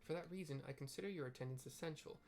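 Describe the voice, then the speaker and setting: A man's voice: fairly high pitch, very fast, a little mellow, natural voice. A man voicing an eloquent, courtly young male diplomat from a fantasy game, with formal, wordy phrasing. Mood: persuasive, formal. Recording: clear background noise.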